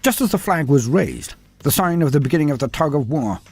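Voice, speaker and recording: A man reading a scripted story aloud with dramatic, expressive delivery. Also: on the high side, brisk, husky, soft background music. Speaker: narrator.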